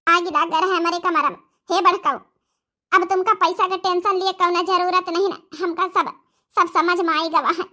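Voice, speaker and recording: A woman voices a young rural mother from an Awadhi cartoon comedy. The voice is childlike in its very high pitch and piercingly bright. She pleads effusively, repeating herself in melodramatic, repentant bursts. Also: steady tone, moderate pace. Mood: repentant, grateful.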